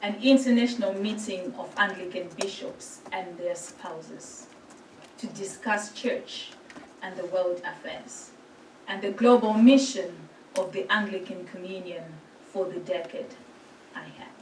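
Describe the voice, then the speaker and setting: A woman from Zimbabwe, a priest giving a formal address and reading scripture in a measured, gentle way. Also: mid-range voice, slight background noise. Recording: roomy hall sound from a distant mic.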